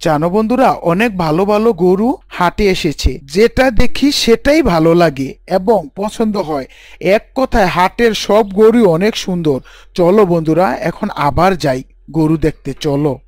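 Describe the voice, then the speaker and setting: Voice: mid-range voice, normal speed, dry recording, studio quality, natural voice. Someone voicing a chatty cartoon boy. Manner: chatty, excitable.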